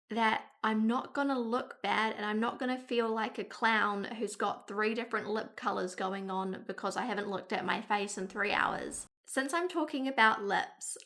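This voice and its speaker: A woman's voice: a little high, a bit bright. A woman in her thirties with a New Zealand accent, talking frankly to camera in a casual beauty vlog. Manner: chatty and frank, with wry asides. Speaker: beauty vlogger.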